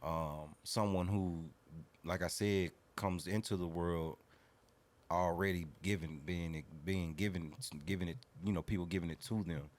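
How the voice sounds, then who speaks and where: A man's voice: deep voice, fairly even intonation, measured pace. A man in his thirties talking casually and earnestly as a podcast guest, opinionated and slangy. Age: 30s.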